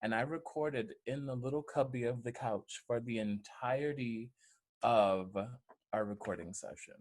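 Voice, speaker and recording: A man talking earnestly in an interview, with measured, deliberate phrasing. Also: mid-range voice, a little mellow. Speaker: audio play cast or crew member.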